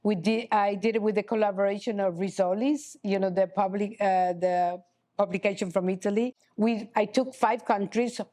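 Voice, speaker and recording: A middle-aged woman, a chocolatier guest speaking in a casual interview, halting with many restarts and "you know". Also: mid-range voice, fairly even intonation, warm tone. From Honduras. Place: chocolate shop cafe.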